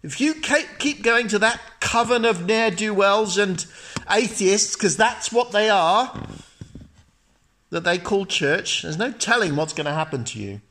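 A man's voice: sits high, animated, measured pace, fairly bright tone, voice pushed up, exaggerated voice. Man doing a scornful young Roman friend. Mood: warning. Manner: urgent, mocking, lecturing.

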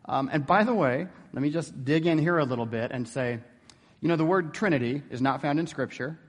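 A man's voice: a little high, brisk, big-room sound. A man giving an informal theology lecture in a conversational way, often checking in with rhetorical questions.

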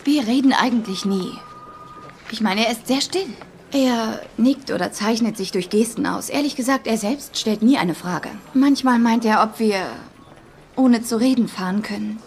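A woman's voice: on the high side, moderate pace, darkish tone, slight background noise, lightly acted. An actress playing an eager, well-meaning young policewoman in dubbed TV dialogue, earnest and insistent. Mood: eager, fretting. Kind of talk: dubbed TV comedy-drama dialogue.

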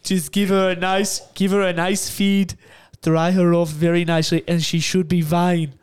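Big Russian bloke played by a man, gruff, deliberate, thick put-on accent, for a comic tale. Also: high-pitched, flat delivery, natural voice. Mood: calm, reassuring. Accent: mock Russian. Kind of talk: comic anecdote.

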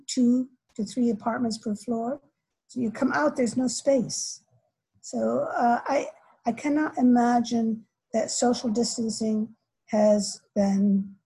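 An older woman scholar answering interview questions in a halting way, with many restarts and fillers. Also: a little high, dark tone, slightly rough, low-quality recording. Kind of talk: academic interview.

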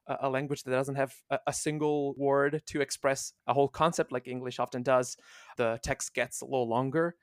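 Guy on a podcast, keeps restarting his sentences, dry close sound, middle pitch. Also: steady tone, smooth, no echo. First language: Italian. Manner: halting, many restarts and fillers. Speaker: guest, translation manager. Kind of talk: casual podcast chat.

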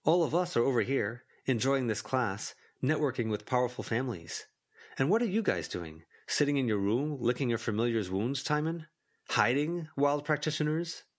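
A man's voice: mid-range voice, animated, moderate pace, pitched above the performer's own voice, natural voice. A man as a smug, needling teenage boy. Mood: taunting. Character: taunting, condescending teenage boy at a magic school.

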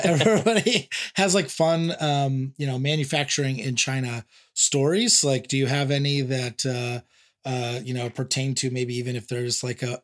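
A man's voice: medium pitch, a bit bright. A male podcast host with a mid-range voice asks long, meandering questions. His tone is friendly and casual, and his talk is full of fillers. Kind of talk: casual interview conversation.

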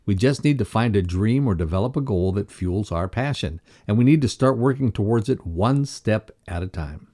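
A man co-presenting a motivational podcast lesson, reading scripted text aloud in a measured, instructional way. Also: lowish voice, animated, warm tone.

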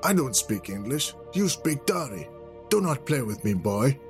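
A man's voice: very animated, measured pace, faint music behind. A man voicing a condescending, threatening fighter with a foreign accent and stilted, formal phrasing, in an audiobook. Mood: condescending, irritated. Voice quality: deep.

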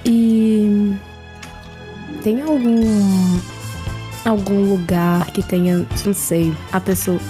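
Woman, tabletop player, relaxed deliberate talk, mid pitch, soft music behind. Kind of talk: casual in-game talk.

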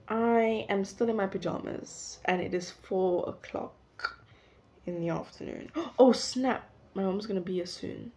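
A young woman in her late teens with a South African accent, chatting casually to camera in a rambling, self-interrupting way. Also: mid-range voice. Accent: South African English. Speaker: vlogger. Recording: dull lo-fi recording.

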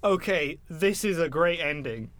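A man's voice: on the high side, expressive, measured pace, fairly bright tone. A man in his twenties chatting casually as a podcast co-host, opinionated and sweary. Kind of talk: casual chat about films and games.

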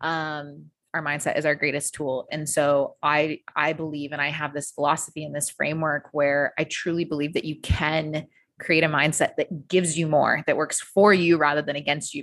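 An American woman who works as a coach, telling her story as a podcast guest in a casual, energetic way with frequent fillers and the odd swear word. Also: low-pitched, no room sound. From United States.

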